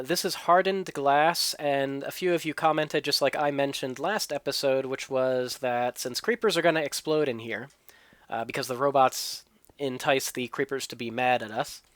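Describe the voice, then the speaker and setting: A man's voice: medium pitch, fairly bright tone, no echo. A man hosting a let's play, explaining what he builds and tests as he goes, his voice a little stuffy from a cold. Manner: explanatory, thinking aloud. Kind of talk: gameplay commentary and tutorial.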